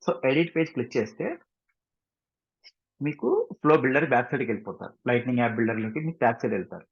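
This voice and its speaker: An Indian-accented man talks quickly through a software tutorial in a slightly husky voice. He explains step by step in an informal way, slipping in English phrases and often checking that learners follow. The recording is dull and lo-fi. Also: mid-range voice. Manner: repeatedly checks understanding with short questions. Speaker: software instructor. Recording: dull, muffled lo-fi microphone.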